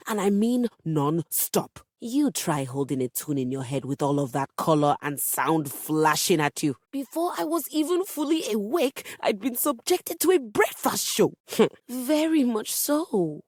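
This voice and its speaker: A woman's voice: medium pitch, a little mellow, husky, no echo, studio quality. A woman voicing a haughty, dramatic piano diva in a video game, with formal, pompous, theatrical diction. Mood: exasperated, indignant. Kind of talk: scripted game character dialogue.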